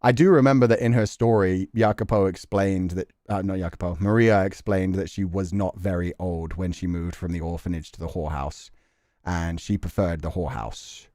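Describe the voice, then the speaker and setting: A man's voice: low-pitched, fairly even intonation, moderate pace, dark tone. A man musing aloud and reading narration as he plays through a visual novel. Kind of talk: casual game commentary and narrated reading. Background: music.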